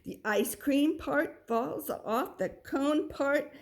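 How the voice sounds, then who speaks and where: Slow, expressive woman reading a storybook. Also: sits high. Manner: expressive, drawn-out storytelling with asides to the listener. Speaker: storybook reader. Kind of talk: children's picture book read-aloud.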